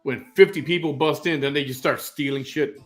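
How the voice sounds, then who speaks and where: A man's voice: a little high, a little fast, soft background music. A man in his fifties from Chicago hosting a live-stream chat show, rambling, opinionated and joking as he reads out viewer comments. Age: 50s.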